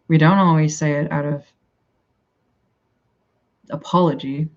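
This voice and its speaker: Low-voiced Canadian woman, rambling chat. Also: steady tone, unhurried, lo-fi sound. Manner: rambling, chatty, thinks aloud and answers chat. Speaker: livestreamer chatting with viewers. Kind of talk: casual live chat.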